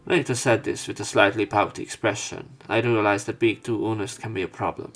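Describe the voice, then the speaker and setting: A man with a fairly low voice reads a visual novel aloud as a let's play narrator, covering both narration and dialogue. Game music plays faintly behind him. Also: steady tone, fairly quick, fairly bright tone.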